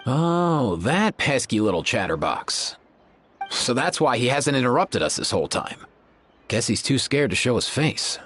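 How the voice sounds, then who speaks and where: Cheeky, exasperated young guy, animated. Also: mid-range voice, a little slow, slight background noise. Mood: worried, grumbling. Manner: sarcastic, slangy. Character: exasperated young man, cheeky, slangy, overworked companion. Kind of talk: video game voice acting.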